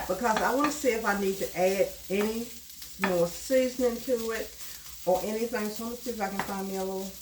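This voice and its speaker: A woman's voice: medium pitch, background noise. Stuffed-up woman cooking, sizzling pan. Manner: chatty, homey, talks to viewers as y'all. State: stuffed up, nasal from bronchitis. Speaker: cooking channel host. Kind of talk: casual cooking vlog. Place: home kitchen at the stove. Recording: roomy kitchen with loud sizzling.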